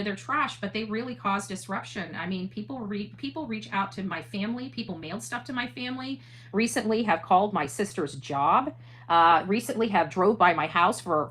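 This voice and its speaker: A woman's voice: mid-range voice, fairly bright tone. Emphatic woman streamer over background noise. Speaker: online channel host. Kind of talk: livestream talk, casual monologue with replies to chat.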